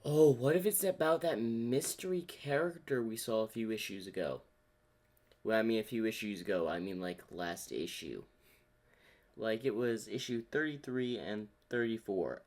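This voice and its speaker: A man's voice: lowish voice, a little mellow. A man hosting a reaction video, musing aloud in casual commentary. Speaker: reaction host.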